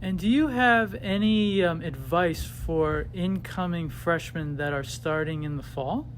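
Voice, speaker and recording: Deep-voiced woman interviewer. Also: a little slow, slight background noise. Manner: encouraging, short reactions, laughs often. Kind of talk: casual interview.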